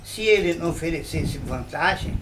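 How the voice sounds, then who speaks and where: An older man from Bahia, telling local history in an interview at an old mansion, unhurried and full of tag questions. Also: a little high, expressive, background noise. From Bahia, Brazil. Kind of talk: reminiscing interview.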